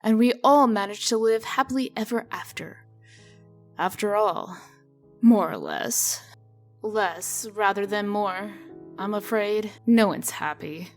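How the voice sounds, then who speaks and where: A woman voicing a brisk, shrewd female official in a comic dub, firm, dry and sardonic in manner. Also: mid-range voice, soft background music. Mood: weary.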